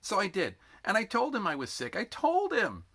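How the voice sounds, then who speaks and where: Man voicing a high, whining exhausted young recruit. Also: wildly swooping pitch, sharp tone, full character voice. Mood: bitter, miserable. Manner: drawn-out aggrieved complaining.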